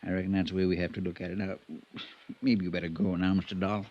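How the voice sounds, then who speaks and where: An American actor playing a deferential, reluctant ranch stable hand in a 1950s radio drama, folksy, guarded and hesitant. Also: male voice, low-pitched, little pitch movement, quick pace. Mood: evasive, resigned. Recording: dull vintage radio recording.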